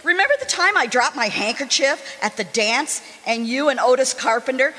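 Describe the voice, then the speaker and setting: A woman's voice: sits high, animated, moderate pace, bright, forceful tone, full character voice. A woman playing a coy, teasing, sweetly needling wife in old-time radio comedy style. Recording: hall reverb on a live stage.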